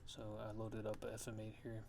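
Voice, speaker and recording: A man with a low, creaky voice talks through a tutorial casually. His delivery is very flat and halting, as if working things out aloud. There is clear noise in the recording. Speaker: music production tutorial host.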